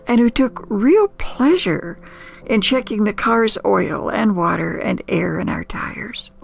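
An older woman with an American accent hosts nostalgic storytelling. Her voice is heavily creaky and her delivery slow and folksy, with emphatic pauses. Soft music plays behind her. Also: medium pitch. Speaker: storyteller and host.

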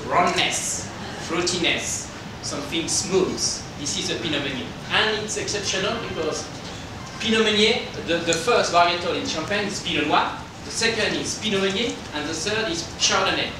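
Middle-aged male winemaker, French accent, slightly high voice, halting English, informal presentation picked up from a distance. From France. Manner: halting non-native English with simple phrasing. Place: indoor hotel function room. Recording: roomy, distant microphone with background noise.

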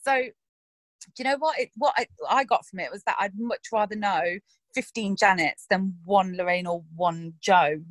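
A woman's voice: medium pitch, moderate pace, dry recording. A woman, an actress talking frankly and animatedly in a casual interview, swearing freely and laughing often. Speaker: actress discussing her roles.

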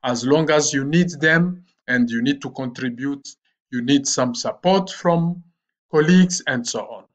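Slow, halting man giving a screen tutorial. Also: medium pitch. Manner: slow and halting, with frequent "uh" fillers. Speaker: online platform trainer. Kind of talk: screen-recorded tutorial walkthrough.

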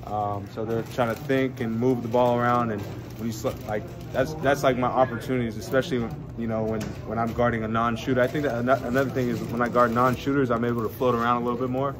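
A man's voice: mid-range voice, quick pace. A young man, a college basketball player, answering reporters after a game in a casual, rambling way full of fillers. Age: young adult. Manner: relaxed, rambling, many fillers and restarts. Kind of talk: postgame media scrum. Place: arena hallway. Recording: crowd noise in arena hallway.

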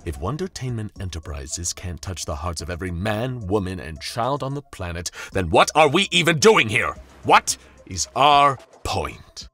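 A man voicing an imperious male toy-company boss in a top hat, booming and theatrical. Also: very animated, moderate pace, bright, forceful tone, dry recording, soft background music, full character voice. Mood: scornful.

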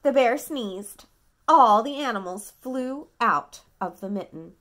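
A woman in her thirties reading a picture book aloud to a toddler at home, dramatically, pausing to ask simple questions. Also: fairly high pitch, animated, a bit bright. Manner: dramatic, childlike questioning and prompting. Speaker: parent reading a bedtime story to a toddler. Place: small quiet room at home.

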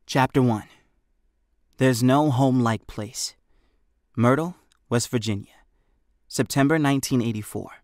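An American man reads a scripted story for an audiobook. His pitch sits in the middle. The sound is close-miked and very dry, with no room to it. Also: a little slow, long pauses, darkish tone, no echo. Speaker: audiobook narrator.

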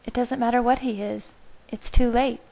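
A woman voicing an earnest, gentle young woman. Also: a little high, steady tone, moderate pace, vocal fry, pitched above the performer's own voice. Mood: tender.

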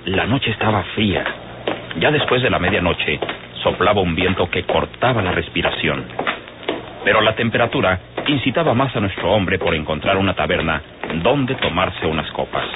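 Deep guy narrating an old radio drama, super noisy tinny recording. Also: lively intonation, normal speed.

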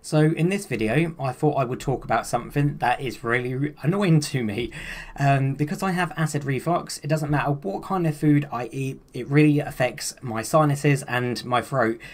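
A man's voice: mid-range voice, moderate pace. Chatty young man vlogging. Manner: chatty, rambling, self-deprecating. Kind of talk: casual talk to camera. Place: small room indoors.

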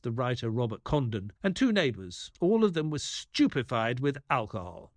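A man playing a detective's loyal assistant, narrating an audio drama in a measured storytelling way. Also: medium pitch, dry recording, studio quality, lightly acted.

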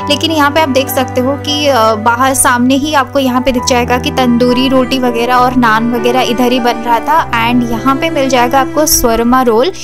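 A young woman with a high voice gives a chatty food vlog review, slipping English words in and pausing often on "uh". Music plays clearly beneath her voice. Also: steady tone. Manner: chatty, mixes English words into Hindi, frequent "uh" pauses. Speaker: food vlogger. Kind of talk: casual restaurant review voice-over. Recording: music playing under the voice.

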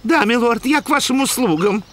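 An actor dubbing a servile, excitable male town governor in a cartoon. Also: very high-pitched, a little fast, a little hiss or hum. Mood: fawning. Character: fawning male town governor, eager to please. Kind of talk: cartoon dub acting.

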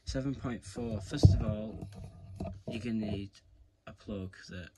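A man's voice: lowish voice, unhurried. A man giving a casual, hands-on tutorial, talking informally to his viewers as he works. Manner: informal, addresses viewers as "guys". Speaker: video creator giving a tutorial. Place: indoors, carpeted room. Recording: clear background noise, handling clicks.

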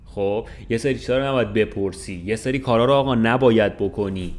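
A man in his twenties talking casually and colloquially, explaining his view and checking that the listener follows. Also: fairly low pitch. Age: 20s. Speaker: podcast co-host. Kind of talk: casual chat. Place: room with large window, indoors. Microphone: desk microphone.

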